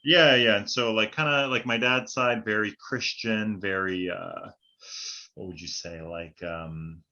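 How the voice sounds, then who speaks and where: A man's voice: fairly low pitch, a little slow. A man from Hawaii and Northern California chatting casually as a podcast guest, full of "like" and "right?" fillers. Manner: relaxed, rambling, many fillers like "like" and "right?". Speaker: podcast guest and fellow host. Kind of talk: casual conversation. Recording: dull lo-fi audio.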